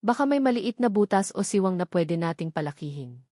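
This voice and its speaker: A woman narrator plays a steady young man stranded on an island. He sounds protective, earnest and reassuring, as in a radio romance. The recording is close and dry. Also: medium pitch, a little fast, dry recording, close to an everyday voice. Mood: determined.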